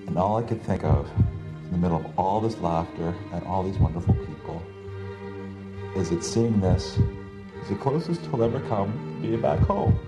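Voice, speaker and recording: Male documentary narrator, very deep voice, slow and reflective, music underneath. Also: lively intonation, low-quality recording.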